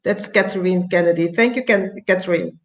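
An older woman from Curaçao hosting an interview over a video call, speaking hesitantly with many pauses and rephrasings. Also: on the low side. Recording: dull lo-fi call audio.

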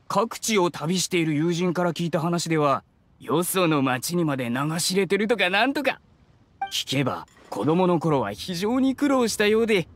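A man's voice: sits high, fairly bright tone, heavily acted. A man playing a folksy, chatty dockside fishmonger with a salesman's manner in a video game. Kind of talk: Japanese video game voice acting. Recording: faint game music behind the voice.

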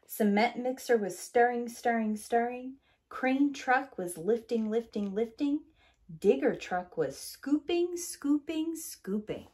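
Middle-aged woman, teacher, picture book narration, highish voice, unhurried, lively intonation. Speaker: teacher, storyteller. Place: small room at home, indoors.

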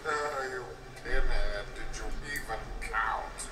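An actor playing a scornful, condescending soldier who berates his partner in a video game. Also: on the low side. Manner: condescending, deliberate. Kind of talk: scripted video game voice acting. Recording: echoey distant game playback with music.

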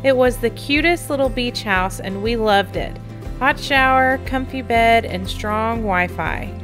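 High-pitched woman narrating over music. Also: measured pace. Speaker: travel vlog narrator.

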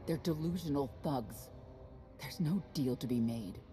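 A woman's voice: very deep voice, unhurried, dark tone, faint music behind. A woman playing a stern, hard-bitten female knight in a video game, blunt and serious. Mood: indignant. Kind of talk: video game cutscene acting.

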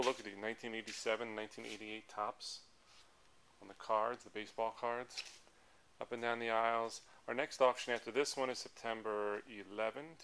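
Casting: American male auction presenter, bright, forceful tone with some fry, informal running commentary, shot handheld in a noisy indoor hall. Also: fairly low pitch. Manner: rambling item-by-item listing while walking. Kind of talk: casual off-the-cuff walk-through commentary. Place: large indoor auction hall full of tables. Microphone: handheld camera, held by speaker behind it. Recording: handheld camera audio with clear background noise.

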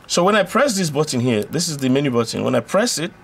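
Man with creaky voice explaining electronics. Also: on the high side, big pitch swings. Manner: repetitive, halting explaining with self-corrections. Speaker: electronics tutorial presenter. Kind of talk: informal step-by-step explanation.

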